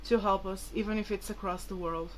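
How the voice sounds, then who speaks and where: A woman's voice: mid-range voice, fairly even intonation, a little fast, a little hiss or hum, rough recording. A woman whose mother tongue is Portuguese, talking casually and hesitantly to viewers in a rambling, informal vlog. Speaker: vlogger.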